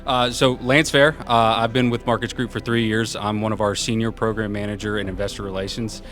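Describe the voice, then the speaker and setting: A man with a fairly low, carrying voice speaks as an interview guest. He is informal and upbeat, a little self-effacing, and explains things conversationally. Music plays clearly behind him. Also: little pitch movement, brisk. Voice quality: loud, carrying voice. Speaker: interview guest, senior program manager. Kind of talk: casual conversational interview answers.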